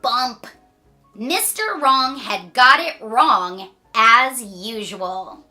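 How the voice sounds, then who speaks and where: A woman reading a children's story aloud with playful asides and dramatic, drawn-out emphasis. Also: on the high side, expressive, bright, forceful tone, faint music behind. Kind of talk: animated read-aloud storytelling.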